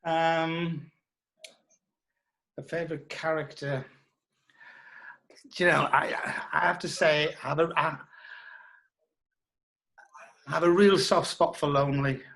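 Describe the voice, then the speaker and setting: A man answering as an interview guest, reflecting in a thoughtful, halting and emphatic way. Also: a little high, fairly even intonation, creaky, fairly bright tone, low-quality recording.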